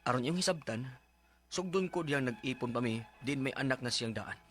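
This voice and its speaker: A man's voice: medium pitch, lively intonation, a little fast, fairly bright tone, faint music behind, lightly acted. A man playing a strict, short-tempered, aggrieved stepfather in a radio drama. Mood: earnest narrating. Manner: scolding, emphatic. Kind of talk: Philippine radio drama acting.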